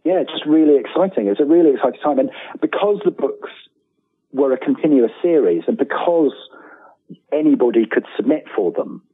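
Phone-like line, middle-aged man, husky voice, rambling enthusiastic interview guest, self-deprecating, casual. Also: medium pitch, expressive, a little fast, dry recording.

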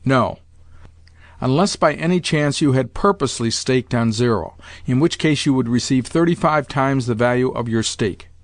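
Audiobook role: cautious, polite male companion, played by a man, mid pitch, measured, dry sound. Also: dry recording, lightly acted. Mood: patient, cautioning. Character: cautious, polite younger male companion, remonstrating with an old lady. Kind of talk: audiobook character dialogue.

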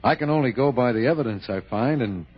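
An actor playing a plain-spoken, apologetic police sergeant in a 1960 radio drama, husky and hesitant, with folksy phrasing. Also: male voice, medium pitch, animated, fast. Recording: dull vintage radio recording.

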